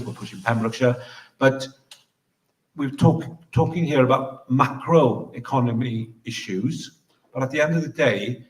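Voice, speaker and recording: Echoey live hall, man, political candidate, informal halting speech, average pitch. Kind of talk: hustings closing speech, conversational. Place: hustings venue, indoors.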